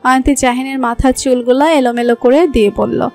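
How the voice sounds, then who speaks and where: A woman narrating a romantic story in a smooth, even storytelling voice. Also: high-pitched, fairly quick. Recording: soft background music.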